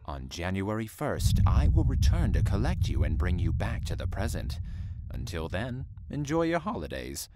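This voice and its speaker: A smooth, polite devil. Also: male voice, sits low, very animated, normal speed, very noisy. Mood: silkily polite. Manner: smooth, courteous, quietly menacing. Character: the devil as a suave young male salesman. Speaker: supernatural visitor. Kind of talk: audio drama acting.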